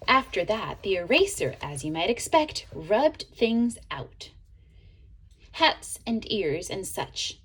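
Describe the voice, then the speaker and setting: A woman reading a children's picture book aloud in an expressive way, as a teacher would to young listeners. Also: medium pitch, breathy edge, low-quality recording. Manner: expressive, pauses to ask listeners questions. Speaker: storybook reader, teacher.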